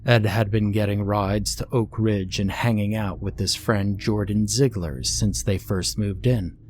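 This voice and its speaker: Guy with a lowish voice reading a creepypasta style horror story, bit of background noise. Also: darkish tone. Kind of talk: scripted horror story narration.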